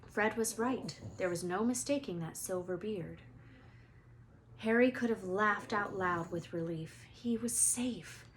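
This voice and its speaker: A woman's voice: mid-range voice, unhurried. Woman reading a storybook aloud, noisy recording. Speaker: teacher reading a book aloud. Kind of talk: expressive storybook read-aloud.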